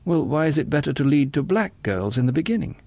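A man's voice: fairly high pitch, very animated, fast talker, a little mellow, dry recording, voice pushed up. A man voicing an earnest, naive young man in an audiobook, hesitant and politely protesting. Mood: troubled, protesting.

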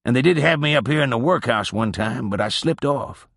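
Guy doing an old drunk, drawly hick talk, high and loud, rueful. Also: lively intonation, brisk, gravelly, dry recording, studio quality. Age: older. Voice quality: drawling, rustic dialect. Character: aging Southern drinker, weary and wry male.

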